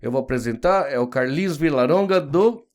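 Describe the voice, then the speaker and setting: Host man, Brazilian, 40s, slightly high voice, chatty and laughing, casual podcast chat. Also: animated, fairly quick. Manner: chatty, laughs often, many fillers like "né".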